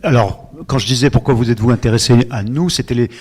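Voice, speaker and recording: A man's voice: medium pitch, fast, a little mellow, slightly rough. A man moderating a literary panel at a book fair, asking questions in a hesitant way with many restarts.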